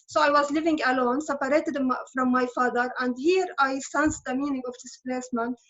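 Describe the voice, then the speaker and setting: A woman's voice: sits high, fairly even intonation, fairly quick, a bit bright, highs cut off. A woman in her thirties whose first language is Arabic, telling her own story earnestly and steadily as a guest in a conversation, often correcting herself mid-phrase. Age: 30s. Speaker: interviewee, refugee graduate student. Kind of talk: online conversation at a public event.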